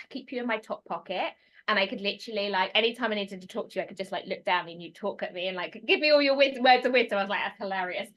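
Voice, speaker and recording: A woman with a British accent talking casually as a podcast guest, animated and expressive with quick bursts of speech. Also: medium pitch. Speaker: podcast guest, transformation mentor.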